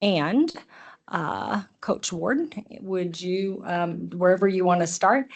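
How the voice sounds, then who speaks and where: Middle-aged woman commissioner, kinda low voice, reads the proclamation then gets chatty. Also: slow pace. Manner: friendly, informal asides with laughs. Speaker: county commissioner. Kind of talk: reading a proclamation and chatting at a public meeting.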